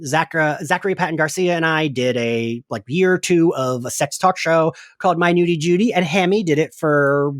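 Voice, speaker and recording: A man's voice: fairly high pitch, moderate pace, few pauses, no echo. Casual male podcast host, close dry sound. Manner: conversational, frequent "like" and tag questions. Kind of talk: casual chat.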